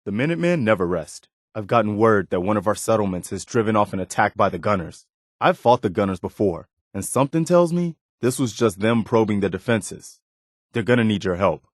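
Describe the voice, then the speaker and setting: An actor playing an earnest, steadfast militia soldier companion in a video game, sincere and plain-spoken, with an American accent. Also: male voice, fairly low pitch, big pitch swings, a little fast, no room sound, studio quality. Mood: earnest, steady.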